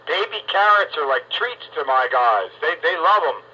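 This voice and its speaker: A man with a very bright, fairly high voice talks casually over the radio. The sound is narrow and a little dull, with some noise behind him. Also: expressive, moderate pace. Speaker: amateur radio operator. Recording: noisy, band-limited radio transmission.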